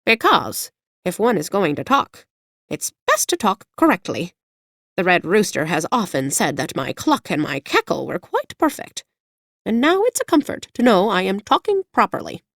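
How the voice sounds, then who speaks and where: A woman voicing a fussy, proper yellow hen in a storybook reading, with a prim, confiding manner. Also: mid-range voice, wildly swooping pitch, normal speed, gravelly, no echo, clean studio sound, heavily acted. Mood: prim chatter. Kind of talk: audiobook character voice.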